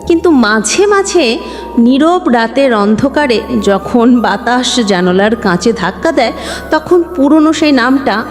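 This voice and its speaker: Female storyteller for emotional scripted narration; very reverberant sound over a music bed. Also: a little high. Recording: long reverb, music underneath. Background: background music.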